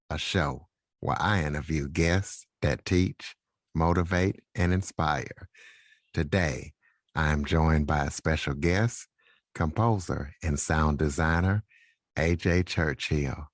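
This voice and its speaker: A man's voice: very deep voice, no room sound, clean studio sound. A man hosting an interview podcast, introducing guests and putting questions to them. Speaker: podcast host.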